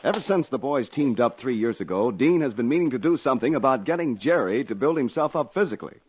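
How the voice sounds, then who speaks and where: Animated 1940s radio announcer man. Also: mid-range voice, quick pace, narrow, tinny audio, background noise.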